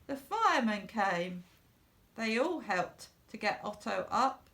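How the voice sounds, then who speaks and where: A woman narrates a children's storybook at a slow, deliberate pace. Her voice has a noticeable creak, and she stresses phrases expressively for young listeners. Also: medium pitch, big pitch swings, background noise. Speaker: storybook reader.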